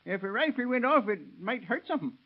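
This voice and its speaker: High, cackling backwoods eccentric. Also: male voice, lively intonation, quick pace. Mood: rambling, gleeful. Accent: rural American. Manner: cackling, folksy, hesitant, rambling. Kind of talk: 1930s radio acting. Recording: muffled vintage 1930s radio recording.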